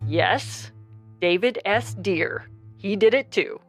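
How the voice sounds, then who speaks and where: A woman talks as a guest in a confessional mock-documentary interview. Her voice is medium in pitch, with faint music playing behind her. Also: takes it slowly.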